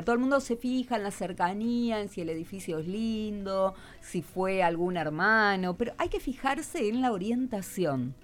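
A middle-aged woman with a Buenos Aires accent, interviewing on the radio in an informal, didactic, advice-giving way. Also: mid-range voice. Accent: Buenos Aires Argentine Spanish. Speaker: radio host.

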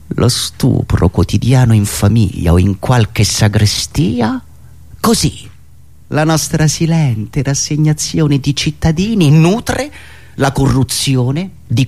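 A man performing a theatrical satirical monologue, ironic, with dramatic pauses and emphatic swings from hushed asides to raised delivery. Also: medium pitch, creaky. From Italy. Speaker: writer and performer of a satirical radio monologue show.

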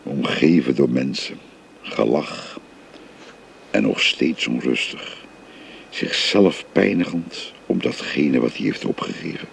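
A gravelly, very deep-voiced old father. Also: expressive, takes it slowly, a little hiss or hum, exaggerated voice. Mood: gentle, confiding. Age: older. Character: older father telling his family history. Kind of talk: radio drama acting. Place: recorded on location.

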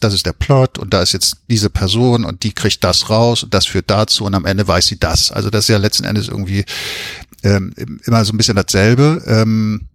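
Low-voiced man in his fifties, chatting casually. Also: a bit bright, no echo, studio quality. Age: 50s. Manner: informal, matter-of-fact, colloquial. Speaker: author, interview guest. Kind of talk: casual interview chat.

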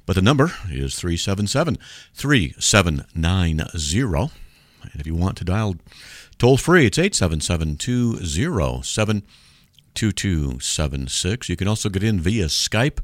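A man with a deep voice hosting a call-in radio show, joking and sarcastic as he reads out mail. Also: sing-song, normal speed, dry recording, clean studio sound.

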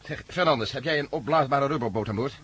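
An actor playing a decisive, wary expedition commander in a radio play, giving orders briskly. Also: male voice, mid-range voice, fairly bright tone, husky, no echo. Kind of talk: radio drama dialogue.

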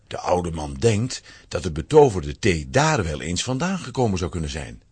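A man narrates a children's story with dramatic emphasis. His voice is lowish and heavily husky, and it moves through lively swings of intonation. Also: no echo.

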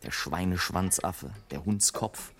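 An actor playing a scornful airship traveller in a radio play, delivering a sardonic, declamatory monologue as a bitter satirist of mankind. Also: male voice, low voice, measured pace, fairly bright tone. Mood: indignant, haranguing. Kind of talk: literary radio play monologue, late 1980s. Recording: music behind the voice. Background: music.

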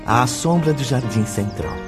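Narrador de radionovela, homem de entonação expressiva. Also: male voice, medium pitch, measured pace. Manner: expressive, formal. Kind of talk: scripted radio drama narration. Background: clear background music.

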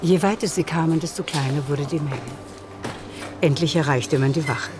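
A woman's voice: low voice, normal speed. A woman reading scripted literary narration as the narrator of a radio drama.

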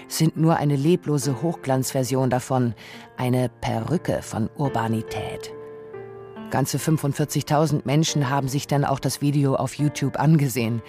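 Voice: medium pitch, mellow, lightly acted. A narrator with reading a scripted, essayistic radio feature in a pointed, literary manner.

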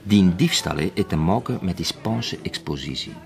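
Gossipy café keeper, Flemish accent, lowish voice, swooping intonation, chummy, radio drama. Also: male voice, expressive, normal speed, vocal fry. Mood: excited, gossipy. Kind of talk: colloquial radio drama dialogue.

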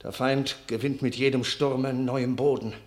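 A solemn town councilman, voiced by a man begging the king for aid in a classic radio play. His mid-pitched voice is imploring and measured. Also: flat delivery, close to an everyday voice. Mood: pleading. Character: pleading town councilman from a besieged city. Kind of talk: classic German radio drama.

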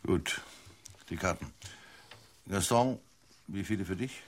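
An orderly, nagging dealer in a radio drama. The voice is fairly low, over faint noise. He keeps prompting others in a matter-of-fact way. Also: slow. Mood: brisk, officious. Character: officious male card dealer, orderly and nagging.

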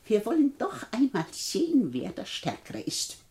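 An actress in a 1960s radio play, playing a rich, bedridden old widow who is defiant, imperious and sharp-tongued. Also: female voice, a little high, huge pitch swings, normal speed. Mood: amused defiance. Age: older. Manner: imperious, commanding, sardonic.

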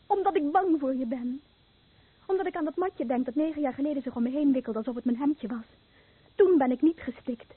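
A woman playing a frightened young wife recoiling from her stricken husband, hushed and intense, in a Dutch radio play. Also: high-pitched, a little fast, lightly acted. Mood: hushed, fearful. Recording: dry, narrow-band old radio recording.

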